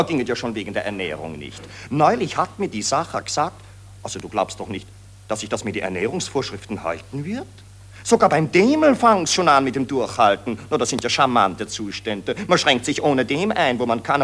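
An actor with a Viennese accent playing a smug, excitable upper-class war optimist in a 1940s German radio play, with an affected, gushing, blasé drawl. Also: male voice, medium pitch, normal speed, husky. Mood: indignant. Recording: vintage 1940s radio recording.

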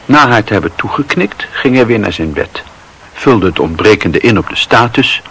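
A man narrating a literary radio drama in a measured storytelling manner. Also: on the low side, animated, fairly bright tone, slight background noise, rough recording. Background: music.

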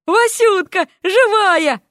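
A woman voicing an excitable, guileless young male warrior in an audio story, eager and exclaiming. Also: a little high, a little slow, dry recording, studio quality. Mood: overjoyed.

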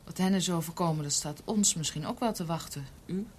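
An actress playing an anxious, devoted young niece in a radio drama, earnest, questioning and deferential. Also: female voice, on the low side, brisk, a little hiss or hum, lightly acted. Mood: troubled. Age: young adult.

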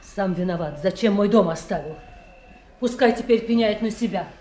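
A woman's voice: mid-range voice, moderate pace, a little mellow, a little hiss or hum, natural voice. A woman playing a haughty, spiteful noblewoman, a king's daughter, speaking with scorn in classical audio drama. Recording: echoey, roomy sound.

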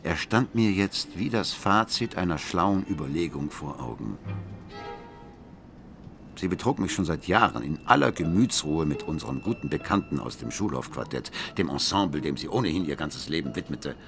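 An actor playing an embittered, betrayed husband who confides his marriage story in a deliberate, brooding way in a radio drama. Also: rumbling low, animated, vocal fry, music in the background, heavily acted. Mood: cold, bitter. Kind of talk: radio drama monologue, intimate narration.

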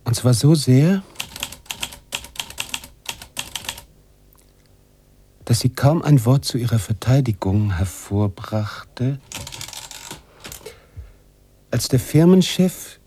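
An actor playing a weary, brooding writer who narrates a literary radio drama in a musing, halting, husky way. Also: male voice, mid-range voice, animated, slight background noise, lightly acted. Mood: brooding, emphatic. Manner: musing, halting, drops into hushed tones. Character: weary, brooding bearded male writer narrating his story.

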